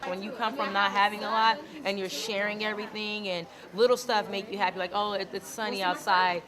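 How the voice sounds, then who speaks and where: A woman's voice: mid-range voice, little pitch movement, a little fast, a crowd in the background. A young woman from the Dominican Republic, talking frankly and chattily in an interview, often saying "like". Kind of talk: casual interview answers.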